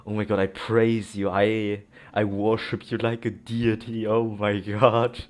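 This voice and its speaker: Slow-paced male gamer commentating. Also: lowish voice, flat delivery. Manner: reacts loudly and repeats himself. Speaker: gamer, let's player. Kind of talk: casual gameplay commentary. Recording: game music behind the voice.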